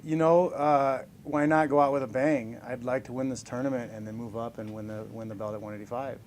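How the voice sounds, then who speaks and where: A man in his forties fielding questions from reporters, loose and upbeat, joking about his own age between answers. His voice sits at an everyday middle pitch, with a faint hiss under it. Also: steady tone, a little fast, a little mellow. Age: 40s. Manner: upbeat, chuckling, self-deprecating. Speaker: professional fighter being interviewed. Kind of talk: casual press scrum answers.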